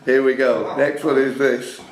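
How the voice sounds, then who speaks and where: A man's voice: medium pitch, fairly even intonation, a little slow, over a noisy crowd. A man hosting a live pub quiz, reading out questions and teasing the contestants. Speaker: quiz master. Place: indoor club room. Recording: echoey room, clear background noise.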